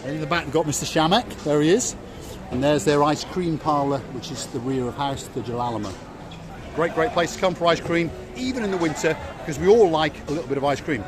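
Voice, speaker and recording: A man's voice: on the high side, brisk. A middle-aged man from Wales giving a chatty, jokey walking tour of a harbour at night, repeating words for emphasis. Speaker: vlog host. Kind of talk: casual walking tour commentary. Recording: music playing behind.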